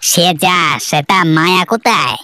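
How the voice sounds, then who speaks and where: A woman's voice: on the low side, expressive, moderate pace, heavy background noise, natural voice. A woman voicing a friendly young male village fisherman in a cartoon, chatty and familiar in manner. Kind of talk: Bengali cartoon voice acting. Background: faint music.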